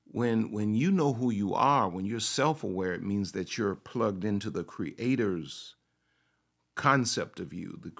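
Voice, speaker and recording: A man preaching a sermon. He repeats his phrases for emphasis, asks rhetorical questions and at times speaks as if he were a confident woman. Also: lowish voice. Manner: speaks in the first person of a confident woman to make his point. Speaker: pastor.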